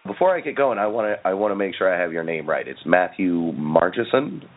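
A man's voice: deep voice, big pitch swings, a little fast, very noisy. A man hosting a podcast, chatting and interviewing in a casual, joking way. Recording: thin phone-like sound, very dry.

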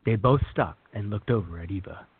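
A man's voice: fairly low pitch, a little fast, slightly rough. A man reading scripted third-person narration for a radio drama. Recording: thin, phone-like band-limited audio.

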